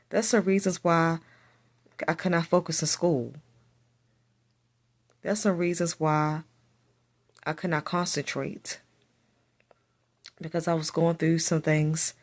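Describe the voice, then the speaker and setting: A woman who speaks with a stutter, telling a personal story to camera and repeating her phrases as she goes. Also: deep voice. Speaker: vlogger.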